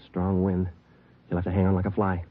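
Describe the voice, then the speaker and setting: An American actor playing a terse, steady police detective in a 1940s radio crime drama, speaking in clipped, matter-of-fact phrases. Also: male voice, brisk, a little hiss or hum, close to an everyday voice. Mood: urgent, hushed. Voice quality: low-pitched. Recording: dull old 1940s radio recording, music behind.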